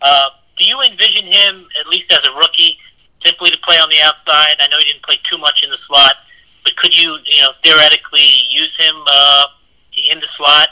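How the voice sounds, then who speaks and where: A man's voice: a little high, fairly even intonation, brisk, sharp tone. A man asking press conference questions as a sports reporter over a tinny phone line.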